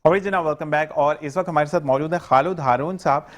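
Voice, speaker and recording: A man's voice: on the high side, fast, soft background music. Chatty Pakistani male morning show host. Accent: Pakistani Urdu. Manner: courteous, chatty, formal greetings.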